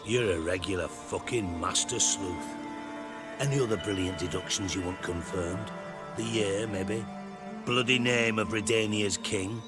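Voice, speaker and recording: Man playing a low-voiced sardonic crime boss. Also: a little slow, over loud music, lightly acted. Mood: irritated, scornful. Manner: sardonic, clipped, swearing. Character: cynical, foul-mouthed male crime boss, former count. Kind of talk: video game character acting.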